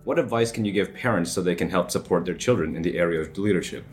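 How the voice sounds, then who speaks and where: Low-voiced, quick-talking young male announcer. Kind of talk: scripted interview questions and announcements. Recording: music behind the voice.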